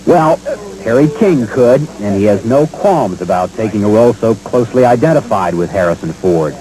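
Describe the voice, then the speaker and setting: A man narrating a scripted feature as a reporter, polished and measured. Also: fairly low pitch, animated, warm tone, poor audio.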